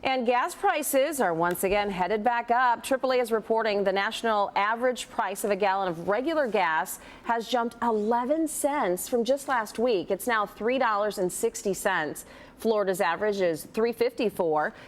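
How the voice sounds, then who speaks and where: A woman's voice: mid-range voice, normal speed. A woman presenting morning TV news as an anchor, in a conversational style.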